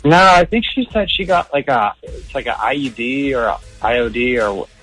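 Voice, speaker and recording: A young man calls in to a radio show and tells his story casually and earnestly. His pitch is medium, and faint music runs behind his voice. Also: expressive, normal speed, fairly bright tone, poor audio.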